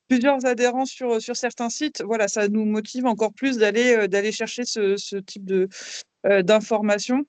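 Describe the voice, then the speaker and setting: A woman's voice: fairly high pitch, no echo. A woman who is vice-president of an association, giving a casual, hesitant update to members on a video call, with frequent fillers.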